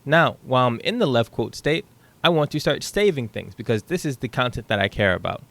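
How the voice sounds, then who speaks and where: A man's voice: mid-range voice, expressive, a little fast, vocal fry, a bit bright. A young man casually walking through his code, thinking aloud with the odd um and a questioning "right?", recorded on a headset in a small room at home. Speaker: programming tutor. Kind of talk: casual screen-share code walkthrough.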